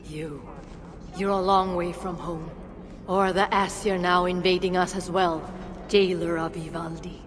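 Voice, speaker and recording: Low, sardonic woman played by an actress. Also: level tone, unhurried, in a large hall, slight background noise, close to an everyday voice. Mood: bitter, scornful. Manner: cutting, sarcastic. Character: bitter, sardonic woman challenging a god. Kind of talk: video game cutscene acting.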